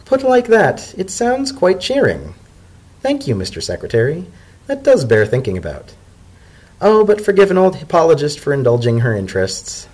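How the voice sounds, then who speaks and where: A man voicing an elderly female zebra scholar-diplomat in a storybook reading, genteel, shrewd and indulgent, with measured, formal, gently wry speech. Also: fairly high pitch, wildly swooping pitch, slightly rough, pitched above the performer's own voice, heavily acted, poor audio. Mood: amused, indulgent.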